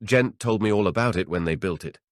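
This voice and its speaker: A man voicing a gruff, ornery old man with a cranky, emphatic storytelling manner in an audiobook. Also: fairly low pitch, a little fast, dry recording, clean studio sound, close to an everyday voice. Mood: grim, emphatic. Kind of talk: dramatic audiobook character voice.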